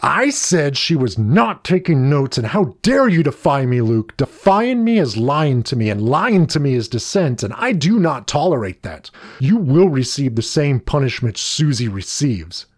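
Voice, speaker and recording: A man's voice: mid-range voice, animated, normal speed, a little mellow, breathy edge. A man playing a domineering, self-righteous male schoolteacher in a story, stern and emphatic, drawing out his words. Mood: angry, menacing. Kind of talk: scripted story dialogue.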